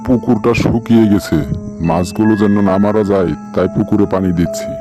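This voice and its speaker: A man voicing a gruff, short-tempered, bossy tiger uncle in a comic cartoon. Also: lowish voice, fairly quick, over music, lightly acted. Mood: evasive, irritated.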